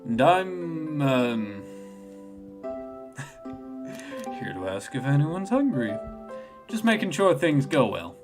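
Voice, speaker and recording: A man's voice: on the high side, very animated, extremely slow, pauses often, music in the background, full character voice. A man voicing an awkward, well-meaning young train employee in a video game, hesitant and self-deprecating. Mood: nervous.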